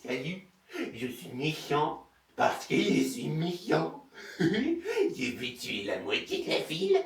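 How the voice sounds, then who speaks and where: A man puts on a gleefully evil, silly cartoonish villain for a parody. The voice swings wildly and drags slowly, in an echoey, distant recording. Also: on the high side, huge pitch swings, voice pushed down, heavily acted. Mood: mocking glee.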